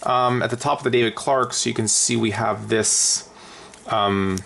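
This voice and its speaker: Man with creaky voice giving casual tutorial. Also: medium pitch, fairly even intonation, normal speed, a bit bright, slight background noise. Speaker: aviation vlogger giving a how-to. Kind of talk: casual hands-on tutorial, unscripted.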